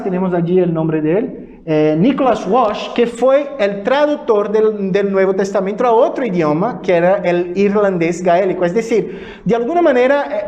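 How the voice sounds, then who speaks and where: A man's voice: sits high, dark tone, lo-fi sound. A man from Brazil, a native Portuguese speaker with a Brazilian accent, giving an informal church lecture in a didactic way with frequent checking tag questions.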